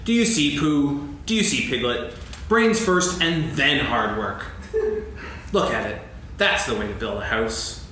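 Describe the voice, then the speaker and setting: A man playing a gloomy, sarcastic, self-pitying old donkey in a staged reading, mournful and deadpan with halting delivery. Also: mid-range voice. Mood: smug. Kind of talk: staged reading of a children's story play. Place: on stage in a hall. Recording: very echoey hall, faint noise, archival recording.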